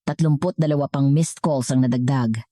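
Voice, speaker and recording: Low, mellow woman narrating a drama. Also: steady tone, normal speed, no echo, clean studio sound. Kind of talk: scripted dramatic story narration.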